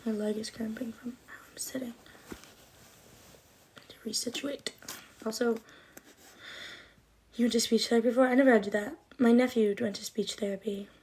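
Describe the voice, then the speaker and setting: A woman's voice: a little high, fairly even intonation, normal speed, pauses often, darkish tone, a little hiss or hum. A woman in her twenties chatting on a livestream, rambling with lots of fillers, with a slight lisp and trouble with her R sounds. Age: 20s. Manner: rambling and chatty, many likes and ums. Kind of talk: casual livestream chat answering comments.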